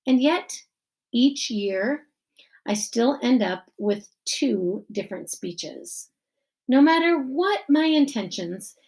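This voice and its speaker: A woman plays a middle-aged school principal addressing a graduation in a storybook. He speaks slowly and emphatically, with a creaky edge. His delivery is formal and earnest, with deliberate pauses. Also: fairly high pitch, animated, a little mellow, poor audio. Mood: earnest, reflective. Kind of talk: children's novel read-aloud.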